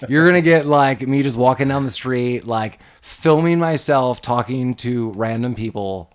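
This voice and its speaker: A man's voice: mid-range voice, measured pace. A man co-hosting a casual movie podcast, rambling with lots of "like" and frequent laughs. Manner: rambling, heavy on "like", laughs often. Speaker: podcast co-host.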